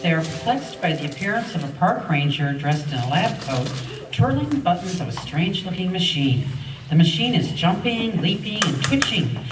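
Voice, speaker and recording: A woman narrator with a lively, varied pitch reads a scripted radio play. Her voice is echoey and distant, with loud music behind. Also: normal speed.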